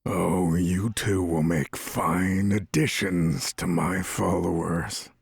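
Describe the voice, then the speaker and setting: An actor playing a sinister, condescending necromancer god in a fantasy audio drama, husky and softly gloating. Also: male voice, low-pitched, fairly even intonation, slow, dry recording, studio quality, heavily acted. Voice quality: husky, gravelly.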